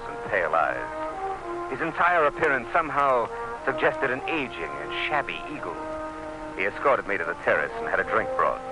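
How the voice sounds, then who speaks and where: An actor playing an earnest district commissioner in an old-time radio drama, speaking with dramatic emphasis. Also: male voice, low-pitched, low-quality recording. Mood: measured, grave. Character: earnest, urgent male district commissioner warning of doom.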